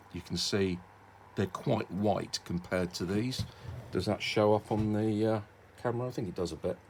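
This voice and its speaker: British man, middle-aged, low-pitched, loose hobbyist presenter delivery with stops and sidetracks, noticeable background noise. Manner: rambling, self-interrupting, hesitant. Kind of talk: casual unscripted demonstration.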